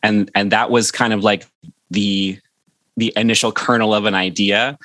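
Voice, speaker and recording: A man talking about a song he composed in a casual interview, hesitant and friendly, with many pauses and fillers. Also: fairly low pitch, steady tone, fairly bright tone, no room sound. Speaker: composer, guest.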